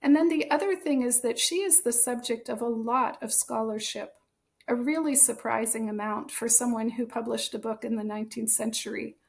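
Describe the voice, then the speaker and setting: American woman guest talking things through calmly, bit of fry, slightly high voice. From born in Germany, raised in the United States. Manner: thoughtful, measured, with occasional soft laughs. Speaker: guest, author and translator. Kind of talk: podcast interview.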